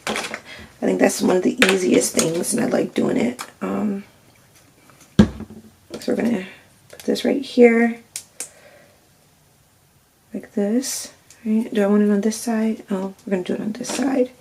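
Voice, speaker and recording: Gravelly stuffy-nosed lady w a lilt doing a craft tutorial, keeps going "right?". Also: medium pitch, steady tone, unhurried, pauses often, heavy background noise. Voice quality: slightly nasal and stuffy. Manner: chatty, narrates each step, keeps asking "right?". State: scratchy throat from allergies. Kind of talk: casual step-by-step crafting demo.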